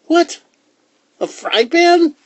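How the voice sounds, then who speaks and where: A man voicing excitable, complaining little boys in a children's storybook, exclaiming in a whiny manner. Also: extremely high pitch, moderate pace, voice pushed up. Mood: indignant, whiny.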